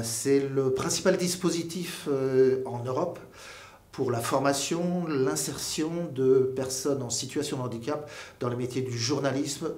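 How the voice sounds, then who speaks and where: A man who leads an association, explaining it in an interview with halting phrasing. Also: medium pitch, darkish tone. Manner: halting, repeats filler words. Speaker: association leader. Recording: echoey room.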